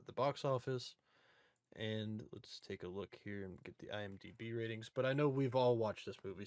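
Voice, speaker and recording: A man's voice: fairly low pitch, a little mellow, no room sound. A man hosting a casual podcast discussion, speaking informally and steering the conversation along.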